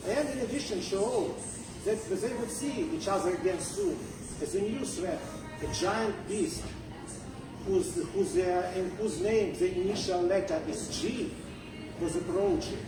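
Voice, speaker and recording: A contestant telling a story as a dramatic speech, in deliberate short phrases. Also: medium pitch. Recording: roomy distant pickup with music behind. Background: music.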